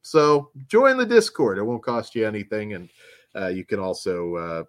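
A man's voice: lowish voice, animated, moderate pace, no room sound, studio quality. A man co-hosting a podcast in casual chat, losing his voice, with rambling, filler-laden plugs. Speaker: podcast co-host.

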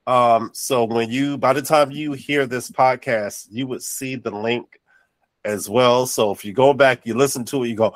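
Man, podcast host, nasal and congested, affirming, dry close mic, mid-pitched. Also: fairly even intonation, unhurried, no echo. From North Carolina. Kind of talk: casual interview chat.

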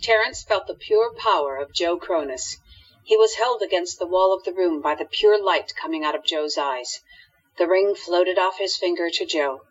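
A woman's voice: fairly low pitch, moderate pace, husky. A woman narrating a scripted radio drama as storyteller, on dull lo-fi audio.

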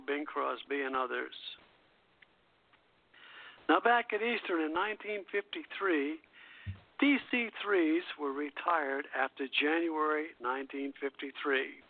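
A man's voice: on the high side, gravelly. An older man hosting a nostalgic radio show, moving between scripted presenting and casual chat. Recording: narrow phone-line radio audio.

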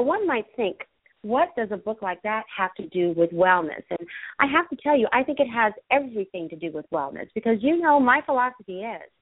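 A woman hosting a live internet radio talk show, bubbly and effusive, her voice still a little hoarse after a virus. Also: medium pitch, no room sound. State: slightly hoarse, recovering from a virus. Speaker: host. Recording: tinny phone-line sound.

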